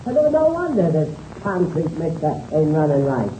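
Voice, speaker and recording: An actor playing a folksy, grumbling American airplane mechanic who stammers and repeats words in slangy 1930s radio-serial style. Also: male voice, on the high side, sing-song, normal speed, mellow, rough recording. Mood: exasperated. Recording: hissy old-time radio recording.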